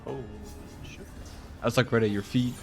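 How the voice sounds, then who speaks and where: A man's voice: mid-range voice, steady tone, normal speed. A man in his thirties chatting casually as he streams a game, informal and slangy with free swearing. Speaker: streamer playing an online raid game. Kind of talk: casual gaming chat and commentary. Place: small room at home. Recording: game music behind the voice.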